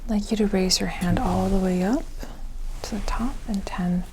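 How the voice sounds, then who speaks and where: A woman speaking softly and breathily, often near a whisper, gently reassuring in an ASMR medical roleplay. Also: medium pitch, fairly even intonation, normal speed, a little hiss or hum, rough recording. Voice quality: soft, breathy, partly whispered. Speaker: ASMR creator playing a medical examiner.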